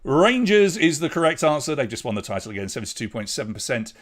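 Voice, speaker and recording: A man's voice: mid-range voice, sing-song, fast. A man with an English accent hosting a live online pub quiz, reading out questions and scores and bantering with the players. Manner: chatty, jokey banter with players between questions. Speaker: quiz host.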